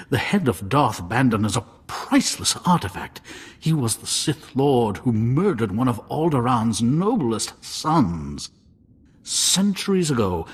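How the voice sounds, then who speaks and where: An actor playing a pompous, apologetic nobleman in a video game, speaking formally and haltingly. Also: medium pitch, breathy edge, full character voice. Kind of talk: video game cutscene acting.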